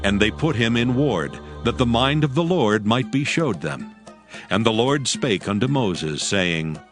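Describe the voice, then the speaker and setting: A man's voice: medium pitch, expressive, unhurried. A man narrating scripture in a formal, solemn storytelling manner from a script. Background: music.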